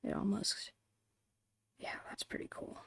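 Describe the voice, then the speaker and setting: A woman murmuring casual ASMR painting commentary in a whispery, creaky voice, unhurried and thinking aloud, close to the mic. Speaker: ASMR digital art streamer. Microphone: close microphone, dry.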